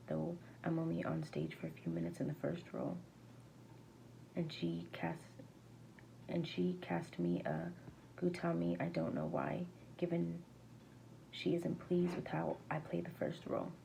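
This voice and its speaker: Woman reading a book out loud, super gravelly fry, mellow, trips on words a bit. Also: fairly low pitch, rough recording. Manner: halting, stumbles over words and rereads. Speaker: amateur reader. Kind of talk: reading a verse novel aloud. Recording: faint background noise.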